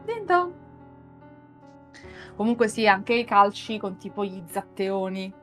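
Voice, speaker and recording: A woman's voice: mid-range voice, steady tone, normal speed, soft background music. An Italian woman hosting a casual live stream, bantering in a joking, playful and exclamatory way. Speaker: podcast and stream host.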